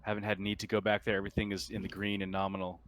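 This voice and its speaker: A man's voice: on the low side, monotone, rapid-fire, a bit bright, slight background noise. A man acting as game master in a tabletop roleplaying session, explaining things in a matter-of-fact way.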